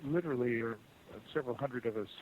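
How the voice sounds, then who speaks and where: A man with a fairly high voice handles a customer support call in a halting, conciliatory way. He rambles through explanations without a script. The line is muffled, with a faint hiss. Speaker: customer service call agent. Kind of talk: unscripted phone support conversation.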